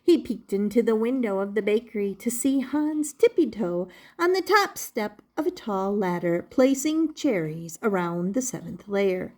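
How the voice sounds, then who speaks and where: An older woman reading a children's story expressively, in a deliberate manner suited to young listeners. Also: high-pitched. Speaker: storybook reader.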